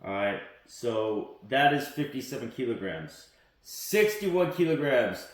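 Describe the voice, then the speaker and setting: A man's voice: mid-range voice, sing-song, measured pace, far from the mic. Blunt, defiant man in an echoey room. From born in South Korea, raised in the United States. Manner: blunt and defiant, with frequent "um" and "you know". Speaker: wrestling channel host and coach. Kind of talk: opinionated solo commentary to camera.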